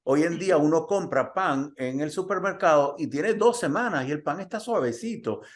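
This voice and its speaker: A man's voice: fairly high pitch, expressive, moderate pace. A man from Cuba, raised in Puerto Rico, hosting a health talk and chatting with many fillers and personal anecdotes. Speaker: host. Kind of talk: conversational interview.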